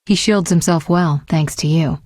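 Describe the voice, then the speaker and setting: Composed young sorceress, fantasy game, lowish female voice, dry close sound, measured, earnest, sharp-witted, resolute. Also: dry recording. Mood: confident, businesslike. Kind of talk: fantasy video game voice acting.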